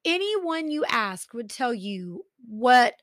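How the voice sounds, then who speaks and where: A woman's voice: on the high side, sing-song, slow pace, no echo, clean studio sound. A Texan woman hosting a true crime podcast, narrating in a chatty way with sarcastic asides. She sounds a little stuffy from allergies. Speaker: podcast host.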